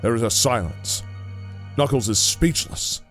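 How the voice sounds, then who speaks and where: Male narrator, medium pitch, scripted third-person storytelling for audio drama, faint music beneath. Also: measured pace, husky.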